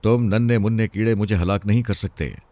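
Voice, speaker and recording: A male storyteller plays a bold bandit chief from a suspense story, sneering and giving orders. The voice is low and dark, recorded close and dry, and full of mocking menace. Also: brisk, dry recording, close to an everyday voice. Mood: mocking, fearless.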